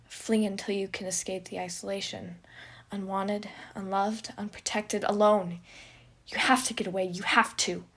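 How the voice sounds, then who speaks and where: A woman voicing a cruel inner voice of fear that shouts harsh commands. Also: medium pitch, level tone, moderate pace, lightly acted. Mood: harsh urging.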